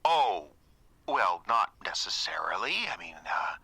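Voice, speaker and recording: An actor playing a nervous, evasive ex in an audio drama, speaking in a hesitant, halting way. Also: male voice, deep voice, lively intonation, pushed and bright, breathy edge, no room sound, heavily acted. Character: awkward, evasive ex-boyfriend, nervous and conflict-shy. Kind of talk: radio drama acting.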